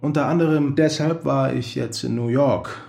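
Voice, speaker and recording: A man's voice: medium pitch, moderate pace, gravelly, a little mellow, lightly acted. An actor playing an earnest, persuasive male friend in a radio drama.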